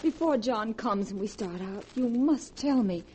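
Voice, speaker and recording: An actress playing an anxious wife in a 1940s radio drama, hesitant and dramatic. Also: female voice, on the high side, lively intonation, normal speed, a little mellow, natural voice. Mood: anxious questioning. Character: anxious wife drawn into her lover's scheme. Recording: hissy 1940s radio recording.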